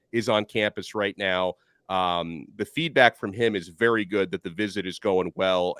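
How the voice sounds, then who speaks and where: A man in his thirties hosting a sports podcast over a video call, giving an energetic, rapid rundown of recruiting news. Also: lowish voice, no room sound, studio quality. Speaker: podcast host. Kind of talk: casual sports podcast chat. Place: home studio, video call. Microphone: desk microphone.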